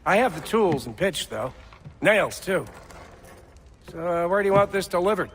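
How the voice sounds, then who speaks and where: Folksy colonial shopkeeper, lightly pitched. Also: male voice, lively intonation, normal speed, a bit bright. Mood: businesslike. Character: businesslike colonial shopkeeper, plain and helpful man. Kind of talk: video game cutscene acting. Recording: faint background noise.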